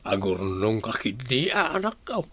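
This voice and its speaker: A man playing a caring older village father who gives advice, gravelly and Ilocano-accented, in a radio drama. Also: lowish voice, big pitch swings, unhurried, a bit bright, no echo, exaggerated voice. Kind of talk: radio comedy-drama acting.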